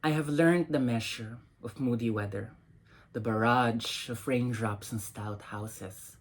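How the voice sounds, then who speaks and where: A woman reading her own poems aloud in a deliberate, measured way, with pauses between phrases. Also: very deep voice, husky. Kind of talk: dramatic poetry reading.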